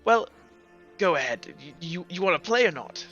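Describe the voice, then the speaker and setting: A sly bachelor gambler in a tabletop fantasy game, as a man game master plays him, high and bright in voice. He is skeptical and teasing, goading in a halting way, over background music on a slightly dull recording. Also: expressive, exaggerated voice.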